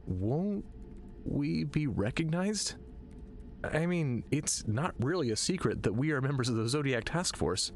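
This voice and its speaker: A man's voice: on the high side, very animated, unhurried, a little hiss or hum. A man playing a frank, skeptical soldier in an audio drama, questioning his orders. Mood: doubtful. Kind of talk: scripted audio drama acting.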